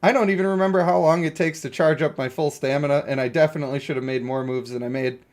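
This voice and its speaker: Guy streaming a mobile game, voice a bit on the high side, casual but blunt, kinda exasperated and ranty. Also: steady tone, quick pace. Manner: opinionated, blunt, exasperated ranting with some swearing.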